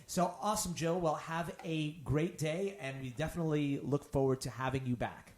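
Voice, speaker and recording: A man's voice: a little high, normal speed. A man hosting a podcast interview, friendly and complimentary toward his guest. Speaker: podcast host. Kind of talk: casual interview. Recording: echoey room.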